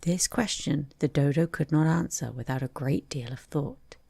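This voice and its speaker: A woman's voice: sits low, normal speed, vocal fry, dark tone, husky, dry recording. A woman narrating a children's bedtime story as storyteller.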